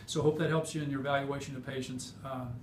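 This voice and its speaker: A man giving a clinical teaching demonstration as a physician, explaining technically in a halting way. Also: medium pitch, level tone, darkish tone. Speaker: physician demonstrating hip exam. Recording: roomy, distant mic, noisy background.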